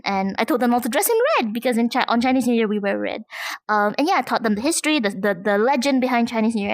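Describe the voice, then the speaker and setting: A woman from Malaysia talks in a casual interview style. Her voice sits fairly high, and the sound is dry, with very little room in it. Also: dry recording. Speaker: guest, content creator and VTuber.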